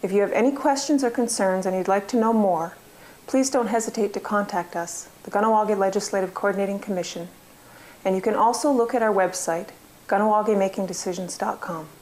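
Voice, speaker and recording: A woman's voice: mid-range voice, a little fast, a little mellow, low-quality recording. Woman presenting, faint background noise. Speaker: presenter introducing a community video. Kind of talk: scripted narration.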